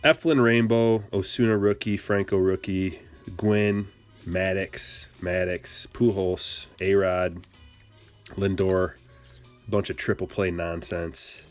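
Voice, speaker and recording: Low-voiced joking man on a livestream. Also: level tone, takes it slowly, faint music behind. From Michigan. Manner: playful, joking, rambling banter with chat. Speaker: card-break streamer. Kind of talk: casual livestream chat while opening cards.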